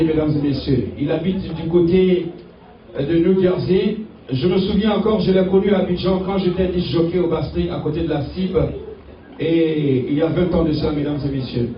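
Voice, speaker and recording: A man emceeing a trophy ceremony, calling people to the stage and repeatedly addressing the ladies and gentlemen. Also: fairly high pitch, fairly quick. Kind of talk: ceremony announcing. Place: event hall. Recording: distant, echoey, dull hall sound.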